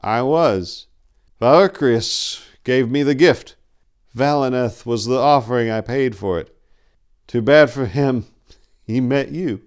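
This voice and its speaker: A sinister male vampire, as acted out by a male narrator in a dark storybook tale. He is smug and boastful, mocking his prey with drawn-out menace. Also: mid-range voice, clear, resonant tone, voice pushed up. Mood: smug, cold.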